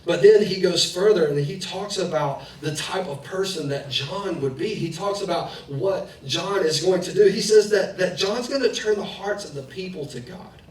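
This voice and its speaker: A man's voice: sits high, moderate pace, few pauses. A man in his thirties, a pastor giving a conversational sermon full of personal family stories. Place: church sanctuary, preaching in the round. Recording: echoey hall, distant mic, faint noise.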